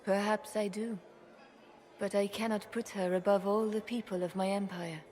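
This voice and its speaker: An actress plays a regal empress in a fantasy game. Her mid-range voice is formal and restrained, proud yet regretful. Music is clearly heard underneath. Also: medium pitch, steady tone, normal speed, a little mellow, close to an everyday voice. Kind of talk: fantasy game cutscene acting.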